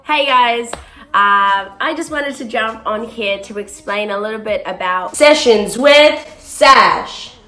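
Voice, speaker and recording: A young woman, a touring musical theatre performer talking earnestly to camera in a casual vlog, often pausing on "um". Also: on the high side, sharp tone, faint music behind. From born in South Africa, raised in New Zealand. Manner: earnest and chatty, with frequent ums.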